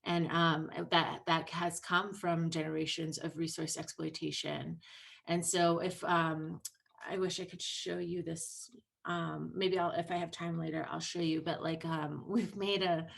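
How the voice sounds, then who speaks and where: A woman in her thirties speaks earnestly through a laptop mic on a video call. Her voice sits a little low, and she talks loosely, with plenty of ums and restarts. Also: level tone, a little slow, gravelly, a bit bright. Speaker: presenter. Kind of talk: online presentation talk.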